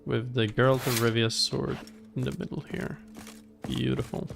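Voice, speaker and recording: A man's voice: medium pitch, level tone, normal speed, creaky, noisy background. A man giving casual, chatty live gameplay commentary as a streamer, thinking aloud as he plays. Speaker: game streamer.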